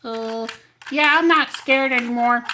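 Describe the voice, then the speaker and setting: A man's voice: extremely high pitch, unhurried, sharp tone, slight background noise, pitched above the performer's own voice, full character voice. A man voicing a timid, sweet young crocodile puppet with simple childlike replies for a children's storytime. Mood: shy, reassured.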